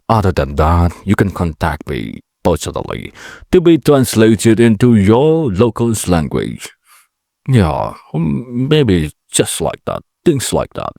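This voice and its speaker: A man's voice: on the low side, a little mellow, no room sound, studio quality. A man in his late twenties from Indonesia, speaking English with an Indonesian accent, rambling and correcting himself as he hosts his own vlog podcast. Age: late 20s. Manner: halting, self-correcting, chatty. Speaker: podcast host. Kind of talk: rambling solo vlog talk. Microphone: camera mic.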